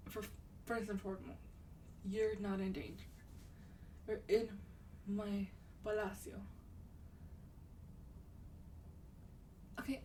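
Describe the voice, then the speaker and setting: Woman playing a slow, soft-spoken vampire lady. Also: mid-range voice, far from the mic, slight background noise, natural voice. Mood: calm, reassuring. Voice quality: soft, breathy, often dropping to a whisper. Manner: gentle, unhurried, coaxing, slightly haughty. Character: sweet, wealthy female demon vampire, lovestruck and coaxing. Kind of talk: soft-spoken ASMR roleplay monologue.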